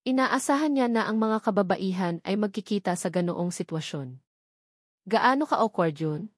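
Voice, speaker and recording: A woman's voice: medium pitch, expressive, normal speed, no room sound, clean studio sound. A woman reading a scripted story as an audiobook narrator.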